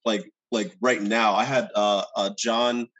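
A middle-aged man hosting a podcast over a video call from home, eager and quick to agree and laugh along. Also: fairly low pitch, steady tone, a bit bright, dull audio. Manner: eager, frequent backchannel and laughs. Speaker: podcast host. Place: home room indoors. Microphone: video call webcam.

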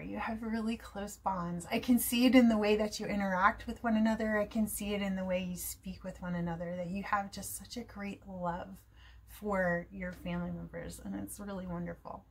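A middle-aged woman from New Jersey with an American accent, an English teacher talking cheerfully and expressively to the camera from a room at home. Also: medium pitch. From New Jersey, USA.

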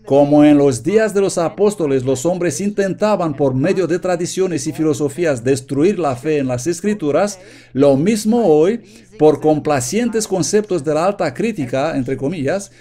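An earnest male preacher delivers a sermon with steady conviction. His voice sits a little high yet stays soft and mellow. He reads quoted passages aloud with careful emphasis. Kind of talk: sermon read from notes, Spanish voice-over.

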